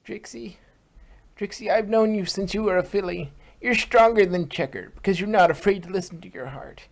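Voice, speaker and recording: A woman's voice: medium pitch, moderate pace, natural voice. Woman acting an earnest elderly grandmother pony. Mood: encouraging. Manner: earnest, reassuring. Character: firm, loving grandmother pony, elderly mare.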